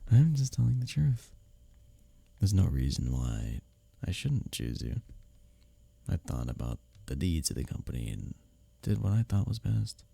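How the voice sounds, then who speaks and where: Casting: male actor as smitten workplace rival for intimate roleplay audio. Breathy, husky, dry close sound; teasing yet earnest. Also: deep voice, no echo, heavily acted. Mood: defensive. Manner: expressive, halting confessions with soft chuckles and sighs. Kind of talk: ASMR roleplay audio drama, spoken intimately to the listener.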